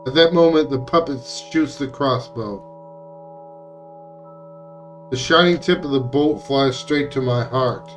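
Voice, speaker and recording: A man's voice: medium pitch, unhurried, long pauses, distant mic. A man reading visual novel text aloud as dramatic narration, now and then stumbling over words and rereading them. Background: music.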